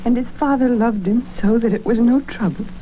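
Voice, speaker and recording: An actress playing a gentle, grieving mother, tender and mournful, in 1930s radio drama style. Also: fairly high pitch, a little fast, lightly acted. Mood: sorrowful. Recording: muffled old radio broadcast, light noise.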